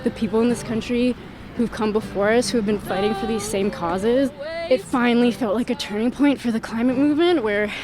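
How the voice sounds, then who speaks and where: A woman in her twenties, a climate activist, recounting an arrest in a reflective, hopeful interview. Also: on the high side. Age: 20s.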